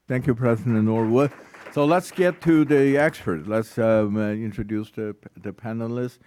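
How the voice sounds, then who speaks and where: A man moderating a hearing, introducing speakers in a halting, friendly way with many hesitations. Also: medium pitch, darkish tone, slight background noise.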